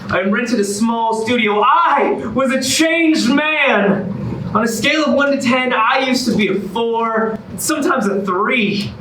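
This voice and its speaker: An echoey, distant stage recording of a male actor as an earnest, theatrical man in a musical comedy, pleading and eager. His voice sits high with a bright, forceful edge. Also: a little slow, slight background noise, full character voice. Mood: upbeat storytelling. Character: Oliver, eager, self-improved man desperate to win back his ex. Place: staged reading in a reverberant room. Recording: echoey room, distant microphone.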